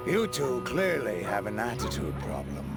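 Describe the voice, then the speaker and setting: A man's voice: medium pitch, wildly swooping pitch, normal speed, gravelly. A man playing an arrogant, cowardly western crime boss in a video game cutscene, blustering and sneering. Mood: contemptuous. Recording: music behind the voice.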